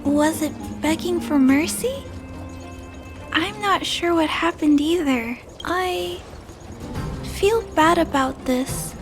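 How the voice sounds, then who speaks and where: A woman playing a gentle, compassionate little girl in a video game, hesitant and soft-hearted as she speaks. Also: very high-pitched, slow, full character voice. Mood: thoughtful, sad. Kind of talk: video game character acting. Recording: music under the voice.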